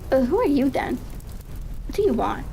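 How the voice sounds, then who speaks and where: Nervous, eager little man for a storybook, done by a female reader: high, quivery, ambient noise behind. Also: very animated, moderate pace, creaky, dark tone, exaggerated voice. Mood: alarmed, suspicious.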